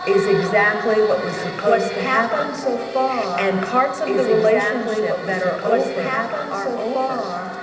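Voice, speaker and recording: A woman's voice: on the high side, fast talker, long pauses, a loud crowd. A woman giving an inspirational, guided spiritual talk, sampled from tape. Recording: echoey sampled tape, loud music over it. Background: loud music.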